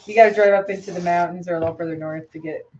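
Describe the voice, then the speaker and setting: A woman chatting casually on a live stream as she paints fishing lures, rambling and hesitant with lots of fillers, her voice a bit hoarse. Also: lowish voice, fairly even intonation, very fast, a little mellow, lo-fi sound, slight background noise. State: slightly hoarse after losing her voice. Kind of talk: casual live-stream chat while working.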